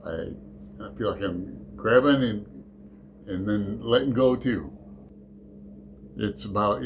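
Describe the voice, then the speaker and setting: A man hosting his book channel in a casual, rambling chat, halting and restarting his sentences often. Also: medium pitch, a little hiss or hum.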